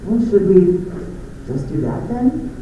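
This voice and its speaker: A woman's voice: mid-range voice, very animated, unhurried, noisy background. A woman playing a headstrong, artsy business partner in a comic skit, argumentative and emphatic. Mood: decisive. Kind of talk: live stage skit acting. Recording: echoey, distant, dull live recording.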